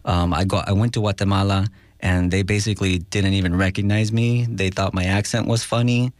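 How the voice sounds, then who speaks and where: A young man from Los Angeles, a guest musician talking casually in a radio studio interview, halting and full of fillers, slipping in Spanish words. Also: sits low, steady tone, dry recording. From Los Angeles, USA.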